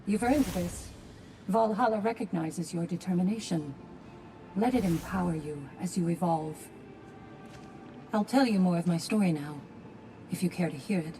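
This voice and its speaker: A woman playing a solemn, reflective healer in a video game, telling of her past in a measured, confiding way. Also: fairly low pitch, warm tone, faint music behind, lightly acted, low-quality recording. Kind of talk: dramatic video game acting.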